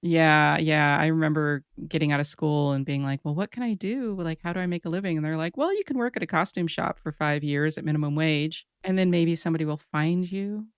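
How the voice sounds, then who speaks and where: Wry, relaxed woman host. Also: low voice, fairly quick, hardly any pauses, clear, resonant tone, dry recording, clean studio sound. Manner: relaxed, wry, laughs often. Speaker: podcast host. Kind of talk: casual interview chat.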